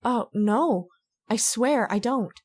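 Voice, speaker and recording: A woman playing a fearful, haunted lady in an old-time radio drama, earnest and halting, pleading as she speaks. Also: on the high side, lively intonation, darkish tone, slightly rough, full character voice. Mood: anxious.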